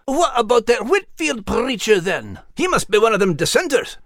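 A man's voice: sits high, big pitch swings, normal speed, fairly bright tone, dry recording, clean studio sound, voice pushed up, heavily acted. A man voicing a blunt, agitated male Scottie dog with a Scottish accent in an audiobook story.

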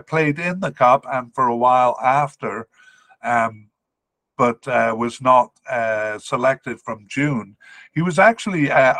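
A man from Wales hosting a sports podcast, going through squad analysis in an informal, methodical and measured way, with some hesitation. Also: medium pitch, fairly bright tone, dry recording. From Cardiff, Wales.

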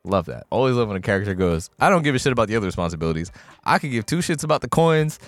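A man's voice: fairly low pitch, very animated, racing, no room sound. A man co-hosting a podcast in casual chat, swearing freely and acting out scenes he recaps. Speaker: podcast co-host.

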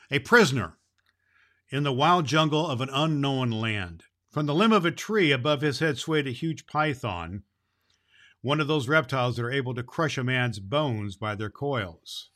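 A man's voice: fairly high pitch, a bit bright. A man reading a storybook aloud to children in the role of Santa Claus, with a dramatic, emphatic delivery.

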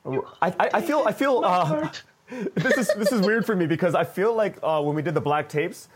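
A man's voice: high-pitched, lively intonation, moderate pace. A man from North America speaking as a producer on a panel, hesitant and restarting his phrases. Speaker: panelist, audio drama producer. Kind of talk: roundtable discussion.

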